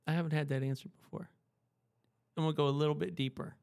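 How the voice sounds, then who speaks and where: A man hosting a casual interview, chatty and encouraging, quick to praise his guest. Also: a little high, fairly even intonation, creaky, darkish tone. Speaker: interviewer, host.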